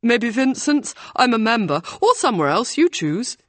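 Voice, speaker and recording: A woman's voice: fairly high pitch, very animated, normal speed, fairly bright tone, no room sound, voice pushed up. Woman doing a flustered young rower lad. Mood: eager. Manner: eager, flustered. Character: awkward young male rower, flustered and eager.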